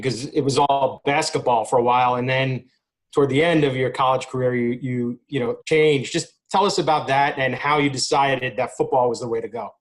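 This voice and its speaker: A man speaks at a middle pitch as a sports reporter. His questioning is conversational and plainly phrased. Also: rough recording.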